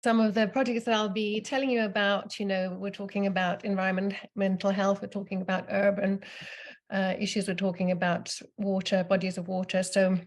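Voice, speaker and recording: A woman's voice: medium pitch, flat delivery, brisk. A woman from Germany who grew up in England, giving an informal presentation with frequent fillers and restarts. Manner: hesitant, many fillers and restarts. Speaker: university program staff presenter.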